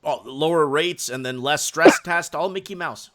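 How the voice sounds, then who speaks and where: Halting man hosting a casual live stream. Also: fairly high pitch, fairly bright tone, no room sound, clean studio sound. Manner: halting, repeats phrases, frequent short agreement.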